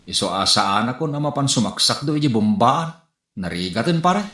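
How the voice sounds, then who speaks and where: A man's voice: medium pitch, animated, a little fast, sharp tone, echoey room. A man with an Ilocano accent playing a flustered, boastful married man who stammers and hesitates. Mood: rueful. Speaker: actor in a comedy skit. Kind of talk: comedy skit acting.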